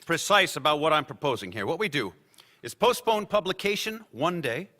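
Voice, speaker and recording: Male lawyer, cautious and reasoned, stage radio play, high forceful voice. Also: sing-song, normal speed, slight background noise. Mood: reasoning. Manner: precise, reasoned. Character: cautious, persuasive newspaper lawyer. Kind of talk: live radio play acting.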